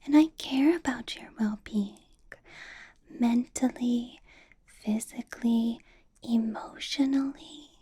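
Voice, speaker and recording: A possessive female vampire boss, played by a woman in an ASMR roleplay, whispering slowly and very breathily. She coaxes with condescending endearments, doting and controlling, with a sweet menace under the soft, mellow tone. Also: fairly high pitch, fairly even intonation, husky, heavily acted. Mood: sweetly doting. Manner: slow, coaxing, condescending endearments.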